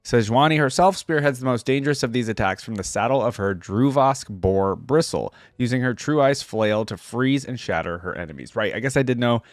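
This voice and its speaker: A man's voice: medium pitch, animated, fairly quick, few pauses, dry recording, clean studio sound. A man hosting a reaction channel, moving between casual commentary and reading aloud.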